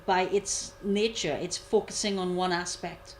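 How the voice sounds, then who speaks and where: A woman commentator giving a measured analysis, repeating key points for emphasis. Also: lowish voice, fairly even intonation, a little hiss or hum. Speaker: guest commentator. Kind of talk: analytical discussion.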